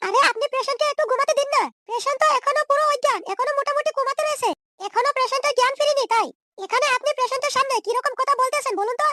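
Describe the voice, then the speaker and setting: Chattering squeaky cartoon nurse. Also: female voice, rapid-fire, sharp tone, no room sound. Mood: fussing. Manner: fussy, reassuring, insistent. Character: caring, chatty young female hospital nurse. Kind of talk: comedy cartoon dub.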